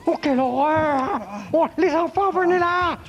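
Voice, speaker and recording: A silly friendly monster, high squeaky voice, hissy old tape. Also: male voice, a little slow. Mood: alarmed. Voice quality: high, goofy, childlike. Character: big friendly male dinosaur-like monster. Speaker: children's TV puppet character. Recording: noisy old TV recording.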